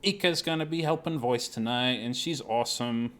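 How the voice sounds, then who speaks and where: A man's voice: mid-range voice, moderate pace, a bit bright. A man hosting a live gaming stream, chatting casually in a self-deprecating, apologetic way with plenty of swearing.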